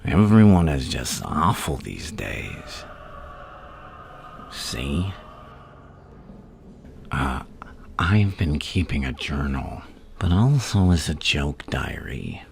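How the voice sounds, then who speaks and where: Crazy evil clown villain, gravelly half whisper asmr, super slow and taunting, swings up and down a lot, some background noise. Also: male voice, rumbling low, big pitch swings, darkish tone, exaggerated voice. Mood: probing, sinister. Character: menacing, gleefully insane adult male supervillain clown.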